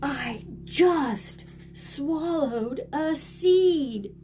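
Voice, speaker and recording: A woman voicing a melodramatic young crocodile from a storybook, with exaggerated, drawn-out delivery. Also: high voice, lively intonation, darkish tone, soft background music, voice pushed up, full character voice. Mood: panicked, pleading. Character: melodramatic young crocodile who adores watermelon.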